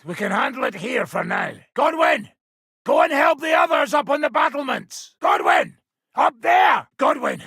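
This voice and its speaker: A gruff swaggering older-ish merc nobleman in a game, super husky, forceful edge, brash and sardonic. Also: extremely high pitch, wildly swooping pitch, moderate pace, no echo, studio quality, exaggerated voice. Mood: urgent battle shouting. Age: middle-aged. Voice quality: raspy, gravelly. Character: gruff, swaggering middle-aged mercenary nobleman, foul-mouthed medieval soldier. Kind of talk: video game voice acting.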